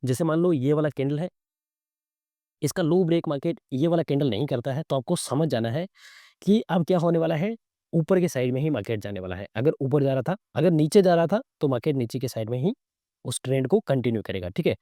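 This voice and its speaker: A man with a soft, mellow voice, recorded close and dry. His throat is a little sore. He teaches chart reading as a casual live commentary, talking conversationally and often tacking on little questions to check that listeners follow. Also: a little high, animated, brisk, no room sound. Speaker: trading instructor and live-trade host.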